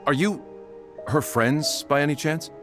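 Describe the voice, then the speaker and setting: An actor playing a solemn household doctor in a video game, speaking in a formal, measured way. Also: male voice, a little high, over music, close to an everyday voice. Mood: solemn, regretful. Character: grave, measured male household doctor bearing sad news.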